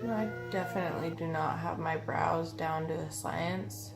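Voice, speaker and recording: A woman's voice: low-pitched, level tone, measured pace, creaky. A woman in her thirties with a nasal, stuffy voice from a cold, chatting through a makeup tutorial at home with many ums and uhs. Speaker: beauty vlogger. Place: bedroom by a window, indoors. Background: faint music and weed eater noise.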